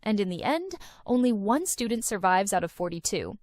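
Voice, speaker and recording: A woman's voice: mid-range voice, big pitch swings, normal speed, heavy background noise. A woman narrating a countdown of film and series recommendations in an enthusiastic, recommending tone. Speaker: video host. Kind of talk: scripted list-video narration.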